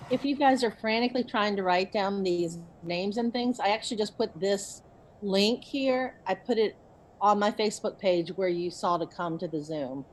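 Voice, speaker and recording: A woman's voice: medium pitch, normal speed, poor audio. A woman co-presenting an online workshop, chatting casually with the attendees over a video call. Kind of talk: casual chat. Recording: background noise on a video call.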